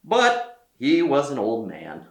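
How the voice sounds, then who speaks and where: A man reading a children's story aloud as a storyteller, with theatrical delivery and deliberate pauses. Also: mid-range voice, wildly swooping pitch, creaky, echoey room. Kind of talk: expressive read-aloud narration for children.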